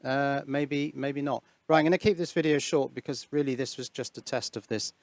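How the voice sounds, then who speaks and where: A man talks through a hands-on demo in an informal, musing way. His voice has a gravelly creak and sits at a middling pitch. He is recorded close and dry on a headset. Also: steady tone, a little fast, darkish tone, no echo. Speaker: host of an electronics hobby channel. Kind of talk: informal hands-on demonstration narration. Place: indoors at an electronics workbench. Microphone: headset microphone.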